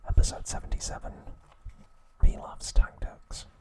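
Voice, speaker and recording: Male, full whisper, breathy, slow deliberate phrasing, scripted ASMR narration. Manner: slow, deliberate, soft, broken into short phrases. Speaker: ASMR narrator recapping a show.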